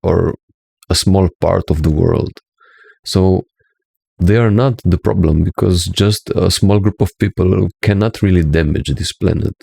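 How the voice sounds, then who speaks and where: A man's voice: low voice, a little slow, gravelly, mellow, husky, no echo, clean studio sound. A man from Slovenia with a Slovenian accent, speaking English as a second language in an earnest, preachy monologue as a spiritual teacher, often checking in with "right?".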